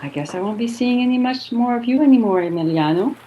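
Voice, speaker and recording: An actress as a self-absorbed socialite passenger, breezy, with a high voice and lively swoops. It is radio drama acting with faint noise behind. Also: female voice, quick pace, highs cut off, close to an everyday voice.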